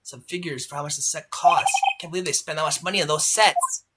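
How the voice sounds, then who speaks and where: A man's voice: on the high side, a little fast, heavy background noise, lightly acted. A man voicing a wry, hesitant young lawyer in a video game fandub, musing with understated sarcasm.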